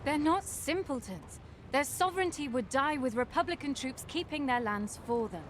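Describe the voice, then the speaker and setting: A woman's voice: high-pitched, animated, normal speed, slight background noise. An actress playing a sceptical, defiant female warrior in a fantasy game, with a direct, questioning manner. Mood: concerned. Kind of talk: dramatic fantasy game acting.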